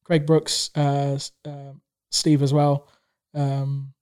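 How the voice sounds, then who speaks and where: A man's voice: medium pitch, completely flat delivery, slow, darkish tone, clean tone. A man in his thirties who grew up in Tanzania and speaks Swahili natively, chatting casually as a guest, rambling with many fillers and restarts. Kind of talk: casual conversation.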